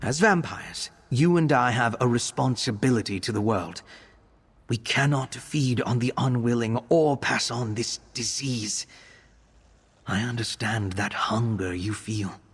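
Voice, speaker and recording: A man playing an earnest, bookish young male vampire alchemist in a video game, speaking in an articulate, expressive way. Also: medium pitch, unhurried, slightly rough, in a large hall. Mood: earnest, resolute. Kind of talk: video game character voice acting.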